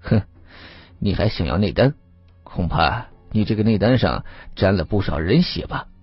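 Man playing a stern low-voiced Taoist interrogator. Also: expressive, normal speed, breathy edge, dry recording, dull audio, soft background music. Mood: accusing. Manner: stern, challenging. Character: stern sly male Taoist, threatening and interrogating. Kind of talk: audiobook character acting.

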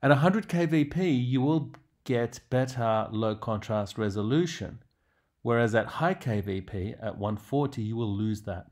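A man's voice: mid-range voice, animated, measured pace, darkish tone. A man lecturing on radiology in a didactic way, stressing key terms as he narrates prepared slides.

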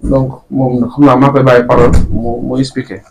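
A man's voice: medium pitch, flat delivery, moderate pace, poor audio. A man with a Senegalese accent, a club official, giving a hesitant informal introduction full of fillers.

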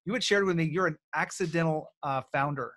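A man in his forties hosts a business podcast in a fairly high voice. He talks upbeat and directly to listeners, recorded on a studio mic in a home office. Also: brisk, a bit bright. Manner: upbeat, promotional, direct address. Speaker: podcast host. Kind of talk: podcast intro and interview. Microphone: studio mic on boom arm.

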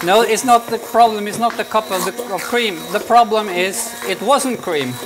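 A man's voice: sits high, moderate pace, rough recording. A man, chatting and bickering through a home baking video in a kitchen, laughing often. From Norway. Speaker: co-host. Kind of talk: casual baking chat. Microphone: unseen mic, medium-distance camera.

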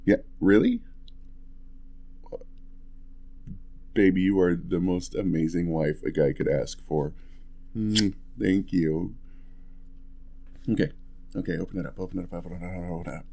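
A man playing an affectionate, playful young husband in an ASMR roleplay, talking softly and tenderly in a casual, hesitant way. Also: deep voice, normal speed, gravelly, a little hiss or hum. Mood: excited, grateful. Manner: soft, hesitant, chuckling, tender. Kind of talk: ASMR boyfriend roleplay, intimate casual chat.

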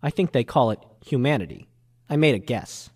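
A man's voice: mid-range voice, animated, a little fast, darkish tone, slightly rough, no room sound. Guy acting as wisecracking 1930s american newsman, noir radio narration, swingy intonation, dry sound. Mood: musing. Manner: wisecracking, slangy. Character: wry, hard-boiled American ex-journalist of thirty-two in 1939. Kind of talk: noir radio drama, first-person narration and dialogue.

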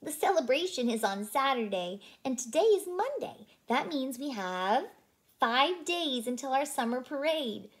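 A woman's voice: high-pitched, big pitch swings, a little slow, voice pushed up. A woman reading the part of a cheerful cartoon puppy leader in a storybook, emphatic and encouraging. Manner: bright-spirited, emphatic, encouraging.

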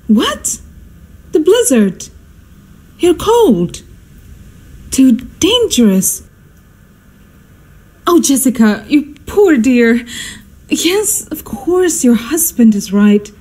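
A woman acts out an imperious society hostess in a radio play. Her voice is high and swings widely, with drawn-out, slow delivery. She is melodramatic, easily outraged and forever scolding. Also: a little mellow, heavily acted. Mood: flustered, sympathetic. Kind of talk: radio drama acting.

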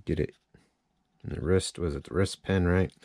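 A man's voice: deep voice, fairly even intonation, normal speed, darkish tone, no echo. A man giving a casual hands-on repair tutorial, thinking aloud informally as he works at a workbench. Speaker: chainsaw repair demonstrator. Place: cluttered indoor workshop at a workbench.

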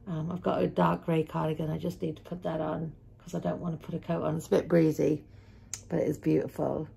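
A woman's voice: deep voice, level tone, a little fast, vocal fry, dark tone, husky. A middle-aged woman chatting casually to viewers in a rambling way, recorded at home in a living room on a camera at arm's length. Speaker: vlogger.

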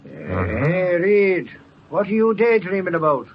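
Slow-witted sidekick, excitable, very high male voice, old radio drama, dull recording. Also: slight background noise, full character voice. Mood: nosy, puzzled. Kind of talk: 1940s radio drama acting.

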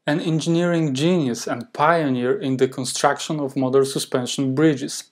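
A man's voice: mid-range voice, steady tone, normal speed. Polish-accented man narrating history. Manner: careful, earnest reading. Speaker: history channel host. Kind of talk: scripted narration. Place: home office with desk and plant. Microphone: lapel mic.

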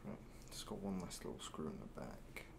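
A man in his twenties speaks very low and softly, with a gravelly creak in his voice. He slips into breathy half-whispers as he plays a mechanic in an ASMR roleplay. There is clear noise behind him. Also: animated, normal speed. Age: 20s. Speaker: ASMR roleplayer playing a robot repair mechanic. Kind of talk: soft-spoken ASMR roleplay. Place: indoors, virtual garage backdrop. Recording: noticeable background noise with handling sounds.

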